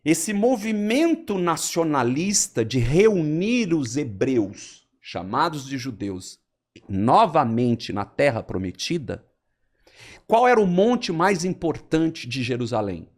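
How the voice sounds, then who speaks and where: A Brazilian man on a podcast, explaining history in a lively storytelling way and acting out quotes. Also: takes it slowly. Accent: Brazilian Portuguese. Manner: lively storyteller, animated swings in pitch, acts out quotes.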